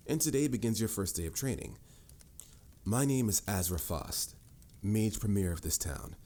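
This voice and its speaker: A man's voice: fairly low pitch, warm tone, close to an everyday voice. A man playing a stern, formal mage mentor with a dry, lecturing manner in a scripted fantasy audio roleplay. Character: stern, dryly exasperated adult male mage mentor.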